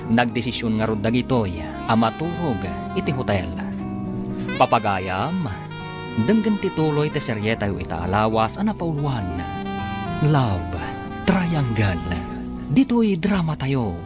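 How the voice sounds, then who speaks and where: A man's voice: mid-range voice, very animated, measured pace, loud music behind the voice. A man narrating and announcing a radio drama in a formal announcing manner.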